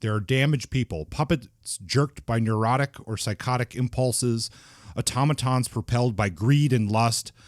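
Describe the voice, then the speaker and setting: A man co-hosting a book podcast, mid-pitched and recorded close and dry with no room sound. He talks in a casual, thoughtful way, often stopping to restart a phrase. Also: no room sound. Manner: thoughtful, halting, with frequent restarts and fillers. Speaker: podcast co-host.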